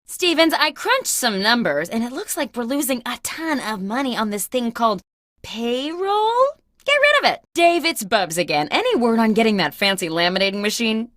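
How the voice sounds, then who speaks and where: Casting: comic game boss, self-important and oblivious, highish voice, wildly animated phone manner, dry close recording. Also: female voice, normal speed, no room sound. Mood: bossy, oblivious. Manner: bossy, exaggerated, theatrical delivery. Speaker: video game character host. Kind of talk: comic scripted phone monologues.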